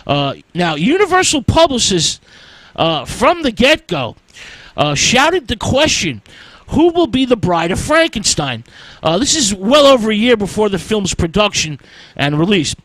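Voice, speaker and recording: A man hosting a radio segment on film history, talking slowly with frequent "uh" fillers and halting pauses. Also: high voice, very animated, a bit bright, no room sound, rough recording. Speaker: radio host.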